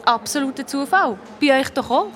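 Cheeky high-voiced girl detective. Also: expressive, a bit bright. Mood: pert. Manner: pert, assertive, quick to retort. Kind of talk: children's detective radio play acting.

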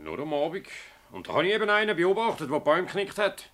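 A man playing a flustered, accusing village mayor in a radio drama, excitable, with a Swiss German accent. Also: sits high, fast talker. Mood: hesitant. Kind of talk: radio drama acting in dialect.

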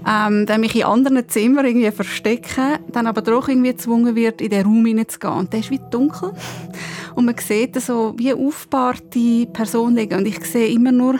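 Higher-pitched Swiss German podcast co-host, woman. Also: steady tone, a little fast, darkish tone. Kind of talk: casual podcast conversation.

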